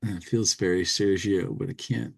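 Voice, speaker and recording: A man's voice: low voice, steady tone, moderate pace, gravelly. A man co-hosting a podcast, talking casually and reading humor material aloud, often repeating words as he goes. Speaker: podcast co-host. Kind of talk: casual commentary with dramatic reading from a humor magazine.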